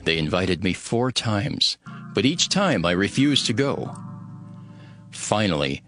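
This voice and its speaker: Low-voiced, earnest ancient governor. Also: male voice, sing-song, takes it slowly, a bit bright, faint music behind, heavily acted. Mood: earnest, measured. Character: steadfast, devout male governor of ancient Jerusalem telling his story. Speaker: first-person narrator. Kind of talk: dramatized scripted narration.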